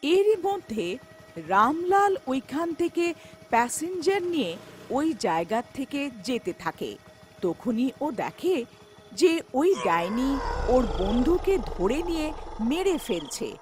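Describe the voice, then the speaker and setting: A woman's voice: high voice, very animated, a little slow, a little mellow, a little hiss or hum. A woman narrating a scripted children's horror folktale as the storyteller.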